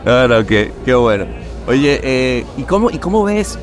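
A man hosting a casual interview, relaxed and slangy, often saying "güey". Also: mid-range voice, measured pace, over music. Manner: relaxed, slangy, frequent "güey" and "qué chingón". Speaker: podcast host.

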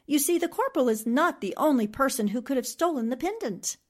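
A woman's voice: high-pitched, animated, brisk. An actress playing a shrewd, self-assured newspaperwoman with a questioning manner in a 1940s-style radio drama. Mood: probing, assured. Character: composed, shrewd, assertive newspaperwoman sleuth.

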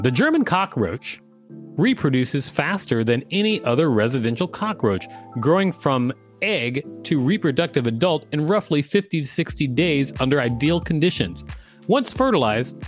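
A man co-hosting a podcast, reading articles aloud and bantering in a playful, joking way. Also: on the high side. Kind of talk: read-aloud narration with casual banter.

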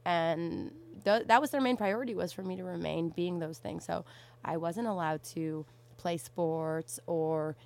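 A young woman from Canada in casual conversation, telling stories in an animated way and often saying "like". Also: fairly low pitch, unhurried, vocal fry. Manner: animated storytelling, frequent "like".

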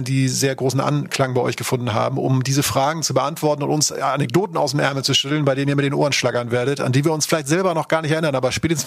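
A man's voice: medium pitch, vocal fry, no room sound, clean studio sound. A man co-hosting a podcast in casual, unscripted chat, rambling along in a self-deprecating way. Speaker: podcast co-host.

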